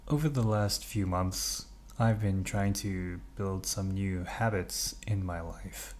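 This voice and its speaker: A young English man who grew up in Norway, vlogging in a relaxed, hesitant way with many ums and uhs while he stretches at home. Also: low voice, slow pace. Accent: English with Norwegian upbringing. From England. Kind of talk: casual vlog narration.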